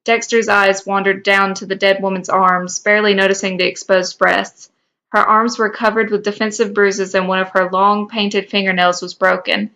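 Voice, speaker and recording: Bright-voiced woman reading fan fiction. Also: medium pitch, flat delivery, normal speed. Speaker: podcast co-host.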